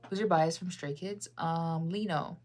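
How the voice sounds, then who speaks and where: Young american woman streaming, rambly and chatty, kinda low voice, lots of "like" and "um". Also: a little slow, a little mellow. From United States. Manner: rambling, chatty, lots of fillers and self-corrections. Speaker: livestreamer reading chat. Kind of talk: casual livestream chat.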